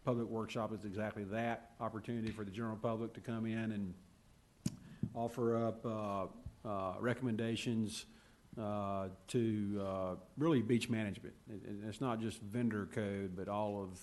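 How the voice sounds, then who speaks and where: A man on county staff briefing a public committee meeting in a steady, procedural way. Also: fairly low pitch, normal speed, slight background noise. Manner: steady, procedural, many fillers.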